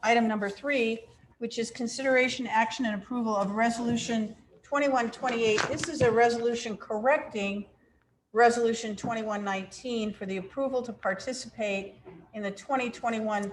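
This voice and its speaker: A woman serving as mayor, chairing a village council meeting and calling the roll in a brisk, procedural way. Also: a little high. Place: council chamber. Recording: echoey council chamber with faint noise.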